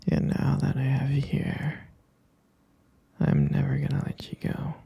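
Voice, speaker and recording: A dark, whispered male voice, a man playing an obsessive yandere lover who holds his beloved captive. He coaxes slowly and insistently, tender and possessive, with a heavy gravelly creak. It is an intimate, close-up ASMR roleplay. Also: medium pitch, completely flat delivery, full character voice. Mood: soothing, possessive. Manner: coaxing, slow, cooing, insistent. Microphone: close-up microphone.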